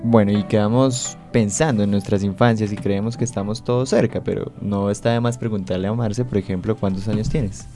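A man in his twenties hosting a radio segment, talking in a relaxed, conversational way. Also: on the low side, fairly quick, hardly any pauses, music in the background. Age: 20s. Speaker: radio host.

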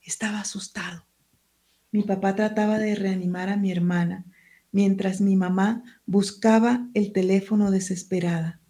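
A woman's voice: medium pitch, steady tone, moderate pace, dark tone. A woman, a writer and teacher, who speaks Spanish as her first language, answering interview questions in a hesitant, searching way and reading from her book.

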